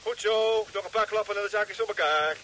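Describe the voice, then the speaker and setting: Radio serial male actor: wry, hesitant crewman, higher-pitched, plain-spoken, faintly crackly vintage sound. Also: fairly quick, lightly acted. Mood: sheepish. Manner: dithering, wry, stammering. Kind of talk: vintage radio drama acting. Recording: crackly old radio recording.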